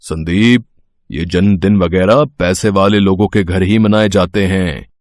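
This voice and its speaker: A man's voice: deep voice, fairly even intonation, measured pace, darkish tone, dry recording, studio quality. A man playing a poor, humble labourer father in a moral story, speaking in a subdued, deferential way. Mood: resigned, sad. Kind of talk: dramatised Hindi moral story acting.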